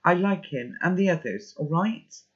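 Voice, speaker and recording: A man's voice: high voice, very animated, moderate pace, pitched above the performer's own voice. Man reading as guarded, earnest boy, lo-fi sound. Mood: defensive, pleading. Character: guarded, earnest boy defending his friends. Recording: dull lo-fi audio.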